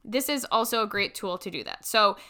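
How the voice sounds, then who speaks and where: A woman's voice: medium pitch, fairly quick, pushed and bright. A woman hosting a podcast, introducing and interviewing in an upbeat, enthusiastic way. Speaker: podcast host.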